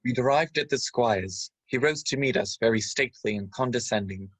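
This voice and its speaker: A man's voice: mid-range voice, normal speed, no echo, lightly acted, low-quality recording. A man playing the narrator of a radio adventure play, telling his tale in literary phrasing. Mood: storytelling. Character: male narrator recalling his boyhood adventure. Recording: music playing under the voice.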